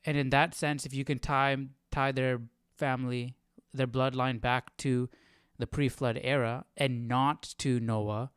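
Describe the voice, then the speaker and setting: A man's voice: medium pitch, flat delivery, measured pace, fairly bright tone, clear, resonant tone, no echo, clean studio sound. A man giving casual, musing commentary to viewers as host of a livestreamed study session.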